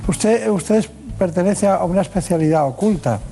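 A man's voice: high voice, normal speed, creaky, mellow. A man hosting a radio health show, interviewing a guest in a chatty way with frequent "eh" fillers.

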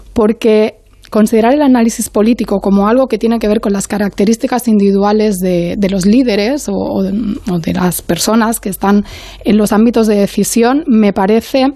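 A woman speaking as an academic guest on a panel, in a measured, analytical way, often searching for her next word. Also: mid-range voice, dark tone, no room sound.

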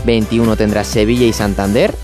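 Man, weather slot on radio, low voice, brisk reading of figures, faint music bed. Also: little pitch movement. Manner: brisk, reads lists of figures. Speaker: weather presenter. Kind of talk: radio weather report with banter.

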